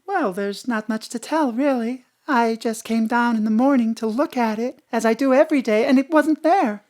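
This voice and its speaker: An actress playing a president's elegant wife, airy, theatrical and evasive, in an audio drama. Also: female voice, a little high, normal speed, darkish tone, lightly acted. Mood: airy, dismayed.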